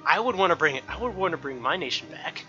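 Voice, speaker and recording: A man's voice: a little high, animated, fast, dull audio. A man hosting a let's play, reading game dialogue and joking along, often stumbling and restarting. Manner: stumbles over read lines and restarts. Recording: game music behind voice. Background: video game music.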